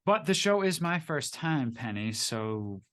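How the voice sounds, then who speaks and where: A man's voice: fairly high pitch, very animated, measured pace, fairly bright tone. A man hosting an interview, giving brief upbeat acknowledgements and casual questions. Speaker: interview host.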